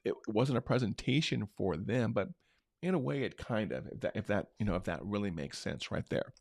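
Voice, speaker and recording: A middle-aged man hosting a marketing show, talking unscripted in a loose, conversational way with a big booming voice. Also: expressive, a little fast, gravelly, dry recording, clean studio sound. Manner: unscripted, conversational, many restarts. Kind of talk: casual talk-show recap.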